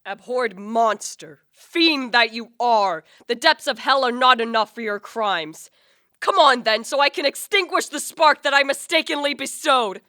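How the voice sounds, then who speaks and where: A woman's voice: on the high side, unhurried, sharp tone, no room sound, studio quality. A woman acting a haughty, guilt-ridden male scientist in a radio play, with formal, declamatory literary speech. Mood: furious, contemptuous. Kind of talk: dramatic radio play acting with first-person narration.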